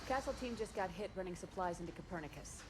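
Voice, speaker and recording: A woman's voice: on the low side, quick pace, a crowd in the background, close to an everyday voice. An actress playing a businesslike female military commander in a video game cutscene, with clipped, dry delivery. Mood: brisk, businesslike. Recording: game audio with clear background noise.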